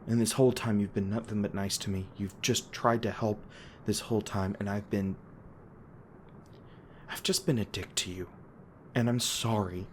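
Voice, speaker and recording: A man playing a gruff, guilt-ridden monster hunter with a tender side, speaking haltingly and emotionally in an intimate audio roleplay. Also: on the low side, fairly even intonation, close to an everyday voice. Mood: remorseful. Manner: halting, stammering, emotional, swearing. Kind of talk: intimate dramatic audio roleplay addressed to listener. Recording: noticeable background noise and ambience.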